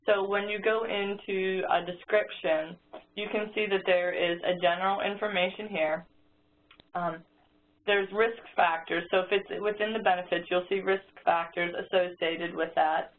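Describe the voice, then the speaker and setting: A woman's voice: medium pitch, fairly even intonation, moderate pace. A woman giving a step-by-step walkthrough presentation on a conference call. She often restarts her sentences and uses fillers as she explains. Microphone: phone or conference line. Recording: tinny conference-call phone line with faint noise.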